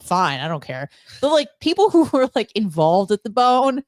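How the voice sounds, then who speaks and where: A woman's voice: fairly high pitch, big pitch swings, normal speed, no room sound. A woman co-hosting a radio show, chatting casually and telling candid, gossipy stories with rambling asides.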